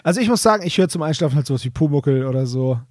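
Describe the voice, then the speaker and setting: A man's voice: a little high, animated, rapid-fire, darkish tone, no echo, clean studio sound. A man co-hosting a podcast, chatting casually in colloquial, slangy phrasing. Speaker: podcast co-host.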